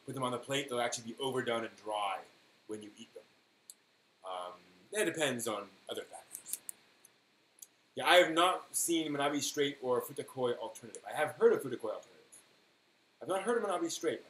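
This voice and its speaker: A man hosting a casual live stream, replying to viewers in a halting way that often trails off mid-thought. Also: medium pitch, a bit bright. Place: indoor room at home with printer running. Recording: roomy echo, faint buzzing 3D printer noise. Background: faint buzz of a 3D printer.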